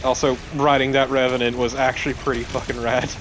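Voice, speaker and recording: A man's voice: mid-range voice, little pitch movement, a little fast, noisy background. A man chatting casually as a co-commentator on a video game. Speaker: let's play co-commentator. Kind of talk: casual chat.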